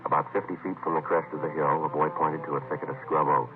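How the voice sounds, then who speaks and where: A man playing a calm, methodical police sergeant in a vintage radio crime drama, matter-of-fact and clipped. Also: a bass voice, fast. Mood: deadpan, grave. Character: calm, methodical adult male police sergeant, narrating investigator. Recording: tinny, noisy vintage radio recording.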